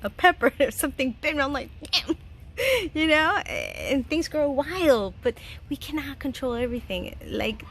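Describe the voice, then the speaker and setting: A woman's voice: sits high, huge pitch swings, moderate pace, fairly bright tone. A woman in her thirties from Chile, giving casual, earnest life advice in a home vlog, chatty and laughing often. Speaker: vlogger. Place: home garden.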